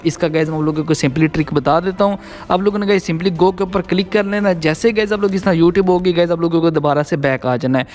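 A man with a slightly high-pitched voice narrates a gaming tutorial as the channel's host. He speaks directly to his viewers, often addressing them as guys, and explains each step as he goes.

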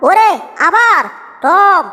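A woman acts a tiny feisty cartoon mouse, extremely high and sharp. The little fellow is pert, teasing and quick to complain. The voice rings with long reverb over music. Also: exaggerated voice. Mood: indignant. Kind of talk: Bengali comic cartoon dub.

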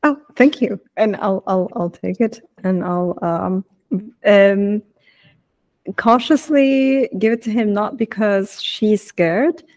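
A woman's voice: medium pitch, slow, a little mellow. A woman improvising casually as a player in a tabletop roleplaying game, with polite, tentative phrasing, on a video call.

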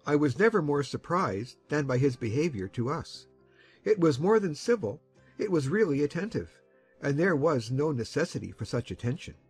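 A middle-aged man playing a sensible uncle in a dramatized audiobook, speaking in a measured, mildly wry way. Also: a little high, big pitch swings, a little mellow, husky, soft background music, exaggerated voice. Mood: musing.

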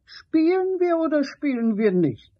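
Radio drama: insistent, impatient woman, high mellow voice, drawn-out delivery, dull sound. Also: very animated. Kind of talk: 1970s German radio play acting. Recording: dull, lo-fi old radio recording.

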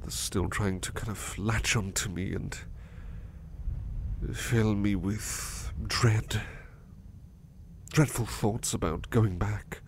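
A man playing a gentle, anxious, sleepy butler in a soft-spoken ASMR roleplay, hesitant and apologetic as he stammers. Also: lowish voice, fairly even intonation, takes it slowly, pauses often. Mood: troubled, weary. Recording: faint background noise.

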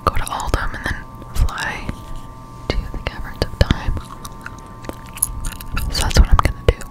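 A woman's voice: unhurried, rough recording. A woman whispering casual ASMR game commentary close to the microphone while chewing gum. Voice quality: unvoiced whisper. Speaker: streamer playing a video game. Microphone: close-up desktop studio microphone.

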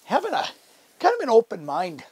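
A man's voice: extremely high pitch, huge pitch swings, a little fast, a little mellow, husky, no echo. A middle-aged man giving casual, instructional fishing commentary in a folksy, enthusiastic, conversational way. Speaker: fishing show host. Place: inside a fabric ice-fishing shelter.

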